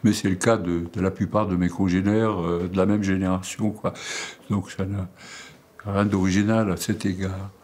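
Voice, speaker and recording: A man in his eighties from Alsace, whose first language is Alsatian, speaks haltingly about his life, with many fillers and repeated words. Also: low-pitched, level tone, a little mellow. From Alsace, France. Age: 80s. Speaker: painter and sculptor interviewed. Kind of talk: hesitant reflective monologue.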